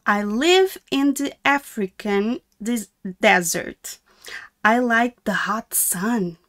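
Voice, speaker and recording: A woman reading as a friendly little meerkat from a picture book. The voice is high and lively, with a simple, childlike sing-song and slow, careful phrasing. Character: friendly little meerkat answering questions in a storybook.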